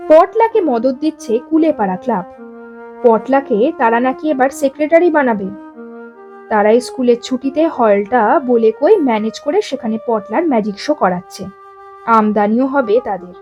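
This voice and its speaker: A woman reading a story aloud as an expressive scripted narration. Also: fairly high pitch, unhurried, highs cut off, faint music behind.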